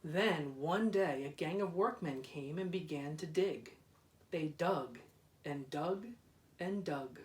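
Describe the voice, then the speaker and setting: Slow, expressive male storybook reader, echoey room. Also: fairly high pitch. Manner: deliberate, dramatic, drawn-out repeated words. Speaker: school principal reading aloud. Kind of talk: expressive children's storybook read-aloud. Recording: roomy echo, distant microphone.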